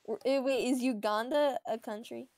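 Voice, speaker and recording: A woman's voice: on the high side, steady tone, moderate pace, darkish tone. Playful giggly woman friend. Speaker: friend on the stream. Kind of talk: casual gaming chat.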